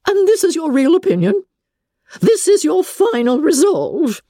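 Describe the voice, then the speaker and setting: A woman's voice: very high voice, sing-song, measured pace, dark tone, no echo, clean studio sound, pitched above the performer's own voice, heavily acted. A woman voicing a haughty, incensed older noblewoman in a dramatic novel reading, imperious and declamatory. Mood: outraged, threatening.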